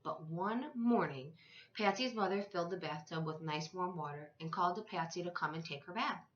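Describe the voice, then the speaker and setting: A woman reading a story aloud to young children like a teacher, with expressive, deliberate phrasing. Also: lowish voice. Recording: echoey room, slightly dull sound.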